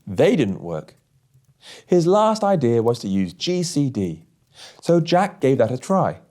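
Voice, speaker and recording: A man narrating a scripted story as an audiobook host, with measured, deliberate phrasing. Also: mid-range voice, darkish tone.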